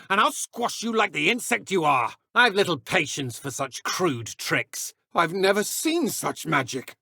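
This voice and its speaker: A sneering dark wizard villain, high and gravelly. He taunts with cruel, theatrical contempt in video game combat lines, his pitch swinging widely. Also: dry recording, studio quality, full character voice.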